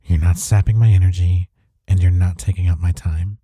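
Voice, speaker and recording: A man acts out a gentle, devoted boyfriend in an intimate audio roleplay. His voice is low and deeply mellow, recorded close and dry. He speaks softly and tenderly, reassuring a partner he cares for. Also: steady tone, fairly quick, breathy edge, no echo. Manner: tender, reassuring, soft-spoken.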